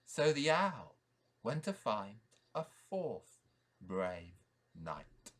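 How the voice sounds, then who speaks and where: Slow-paced man telling a fairy tale. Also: on the low side, very animated, a bit bright. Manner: dramatic, repetitive, deliberate emphasis. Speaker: priest telling a story.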